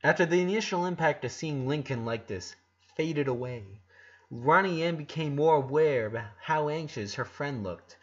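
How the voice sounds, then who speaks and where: A man's voice: fairly high pitch, expressive, normal speed, slightly rough, dull audio. A man narrating a story aloud as an amateur audiobook reader.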